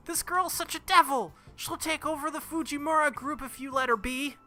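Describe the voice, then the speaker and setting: Man putting on an excitable, high-pitched indignant young woman. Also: moderate pace, faint music behind, exaggerated voice. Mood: indignant, panicked. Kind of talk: visual novel character reading.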